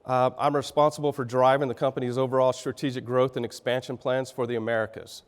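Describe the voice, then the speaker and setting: A middle-aged man with a Texan accent, slightly raspy from a sinus infection, giving a folksy conference keynote. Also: mid-range voice, flat delivery, quick pace. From Texas. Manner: folksy, conversational, with frequent fillers and restarts. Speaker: keynote speaker. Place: large conference hall. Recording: reverberant hall sound.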